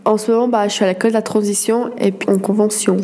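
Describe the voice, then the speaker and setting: A woman's voice: medium pitch, steady tone, fast, mellow. A woman being interviewed as a student, answering in short, hesitant replies. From Switzerland. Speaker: interviewee, student in a work placement.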